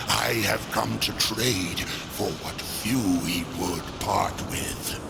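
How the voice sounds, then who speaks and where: A man playing a sly demon merchant in a game cutscene, theatrical and insinuating, with a gravelly voice. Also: heavy background noise, full character voice. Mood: sly, mocking. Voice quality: deep, gravelly. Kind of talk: video game cutscene acting. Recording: loud music behind voice.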